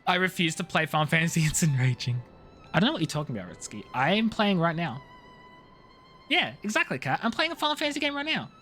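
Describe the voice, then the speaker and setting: A man chats casually as he plays a game on stream, in a fairly high, animated voice. He is slangy and playful, given to mock outrage, with some music around him. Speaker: live streamer playing a video game. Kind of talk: casual chatty game commentary.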